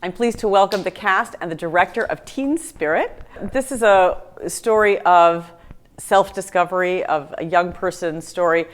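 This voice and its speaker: A woman hosting a studio interview at a film festival, speaking in a measured, gracious way. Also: lowish voice. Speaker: interviewer, editor.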